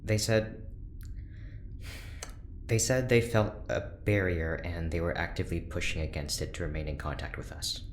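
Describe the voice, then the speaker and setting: A guarded, cornered scientist, voiced by a woman gives terse, defensive answers. Her voice is remarkably deep. It sounds in a roomy space with a light hiss. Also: fairly even intonation, normal speed, pauses often. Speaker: doctor under questioning.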